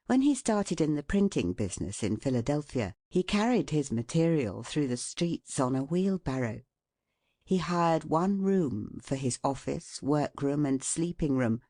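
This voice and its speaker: An audiobook narrator reading scripted prose in a measured, formal and deliberate way. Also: medium pitch, mellow, no echo, clean studio sound.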